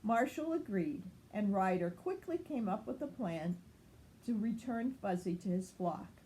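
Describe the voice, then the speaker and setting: Older woman reading slowly and expressively. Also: mid-range voice, expressive, mellow, a little hiss or hum, poor audio. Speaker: grandmother reading a storybook. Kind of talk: children's story read-aloud.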